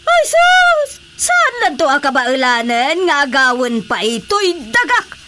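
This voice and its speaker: High, swooping, brash businesswoman. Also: big pitch swings, pushed and bright, a little hiss or hum, full character voice. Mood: defiant. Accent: Ilocano. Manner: exclamatory, theatrical, drawn-out hesitations and scoffing. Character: brash, well-connected businesswoman boasting of powerful protectors. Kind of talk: Ilocano radio drama comedy acting.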